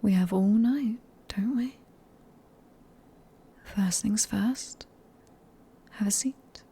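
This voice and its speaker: A British woman playing a seductive, sadistic female vampire in an ASMR roleplay, speaking in a breathy near-whisper with slow, teasing politeness. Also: mid-range voice, mellow, exaggerated voice. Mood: coaxing, teasing.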